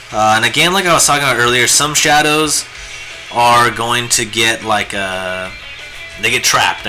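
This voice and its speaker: A hoarse, raspy man chatting casually and rambling with lots of fillers while he draws on a live stream. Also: lowish voice, rough recording. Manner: laid-back, rambling, many fillers. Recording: music playing behind the voice. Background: guitar music.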